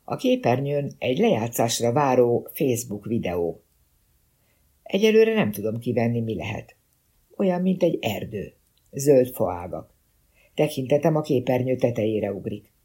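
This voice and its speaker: A male narrator reads detective fiction in a husky, mellow voice. His pitch sits in the middle range, and the delivery is that of scripted first-person storytelling. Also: sing-song. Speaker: audiobook narrator.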